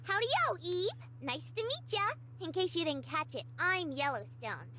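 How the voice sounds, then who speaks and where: A bubbly, affectionate young spirit girl from a visual novel game. Her voice is extremely high and very animated, folksy and eager. She takes her time with words, over faint noise. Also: a little slow, heavily acted. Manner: folksy, chirpy.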